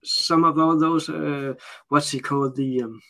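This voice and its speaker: A man's voice: on the high side, steady tone, gravelly, fairly bright tone. A man from Denmark with a Danish accent speaking English as a second language in a casual interview, often searching for his words. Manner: halting, searches for words while translating. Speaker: interview guest. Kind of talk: casual unscripted interview talk.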